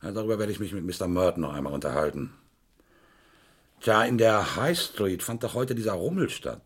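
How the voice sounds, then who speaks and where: A middle-aged police inspector in a radio crime play. His voice is low and rough-edged, with very lively intonation. He questions drily and methodically, in measured phrases. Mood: probing, dry.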